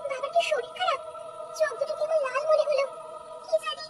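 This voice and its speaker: Anxious little daughter woman doing it, really high voice, quick, cartoon. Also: fairly even intonation, background noise. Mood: worried. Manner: worried questioning. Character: anxious, caring young daughter. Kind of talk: cartoon drama voice acting. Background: music.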